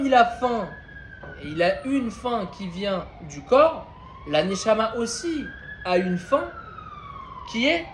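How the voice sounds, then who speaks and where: Guy with a pretty high voice teaching a torah class, chatty, keeps checking w the room, echoey hall, bit of background hum. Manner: conversational, questioning, addresses listeners directly. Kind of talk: informal Torah class to a group of men. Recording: roomy echo with faint ambience.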